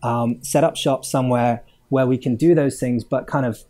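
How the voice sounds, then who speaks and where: Mellow man, rambling. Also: mid-range voice, steady tone, moderate pace. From Hong Kong. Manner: rambling, many fillers, chuckles mid-sentence. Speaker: game studio owner, guest. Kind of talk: casual interview chat.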